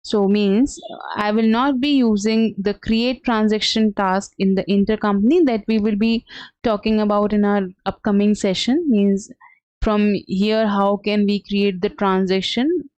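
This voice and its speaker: A woman giving an unscripted software tutorial as a trainer, explaining step by step in a halting, hesitant way. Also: mid-range voice, steady tone, no echo. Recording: dull, lo-fi, dry close sound.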